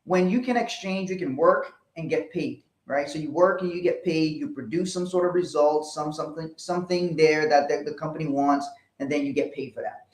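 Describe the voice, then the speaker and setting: A man with a mixed West African accent, explaining money rules in a relaxed co-host chat. His voice sits a little high for a man and repeats phrases as he goes. The room is echoey. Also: a little fast. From Liberia, West Africa. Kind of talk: casual financial advice chat.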